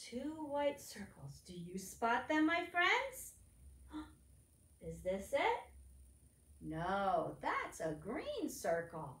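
A woman's voice: a little high, a bit bright. A middle-aged woman leading preschoolers through a lesson in an encouraging, sing-song, child-directed way. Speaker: library storytime presenter. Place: indoors, echoey room beside a board. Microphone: camera a few feet away. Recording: echoey room, distant microphone.

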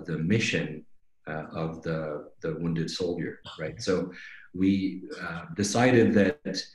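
A middle-aged man presenting conversationally on a webinar, explaining things and often checking in with "right?". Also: low-pitched. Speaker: company executive presenting a webinar. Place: indoors on a video call. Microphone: video call microphone. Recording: roomy, echoing video-call audio.

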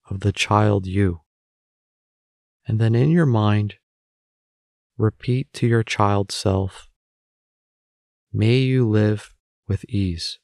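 Guy doing guided meditation, lots of fry in the voice, super dry close sound, measured short phrases. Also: lowish voice, a little mellow, no room sound. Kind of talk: guided meditation narration, instructional.

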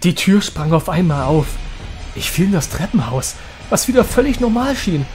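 A man's voice: sits high, big pitch swings, moderate pace, a little mellow. Man playing a haunted young trainer, music behind. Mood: breathless panic. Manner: dramatic, anguished. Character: haunted, desperate young male monster trainer. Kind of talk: first-person horror audio drama narration.

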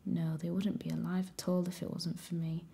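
A woman's voice: on the low side, level tone, brisk, gravelly, warm tone. A woman voicing a smug, bossy boy with a Cockney accent, whispering his lines in a condescending way. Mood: disdainful. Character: surly boy with pudgy face, smug and bossy.

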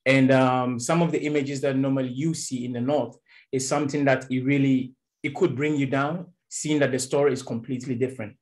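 A young man from Ghana, a youth worker, giving an earnest, halting panel answer over a video call in a small room. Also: mid-range voice, steady tone. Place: small indoor room with door.

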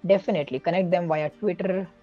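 A man's voice: high-pitched, fairly even intonation, fast talker, muffled recording, soft background music. A man speaking as a podcast guest, giving earnest, explanatory advice in a casual way, often correcting himself mid-sentence.

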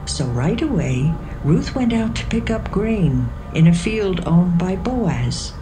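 A woman narrating scripture from a script in a measured storytelling manner. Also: fairly low pitch, very animated, noisy background. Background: music.

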